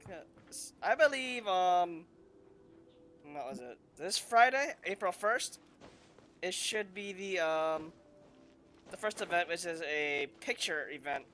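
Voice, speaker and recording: A man's voice: high voice, animated, slow, fairly bright tone. A man giving casual, improvised commentary on a video game playthrough, a little hesitant. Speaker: gamer, host of a playthrough. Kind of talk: casual gameplay commentary, reading choices aloud. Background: faint game music.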